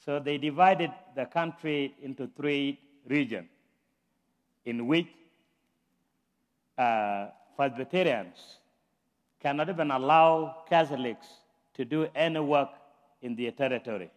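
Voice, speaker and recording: A man's voice: medium pitch, measured pace, long pauses, big-room sound. A man from South Sudan, a guest pastor speaking earnestly to a church congregation. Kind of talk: church talk. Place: church sanctuary.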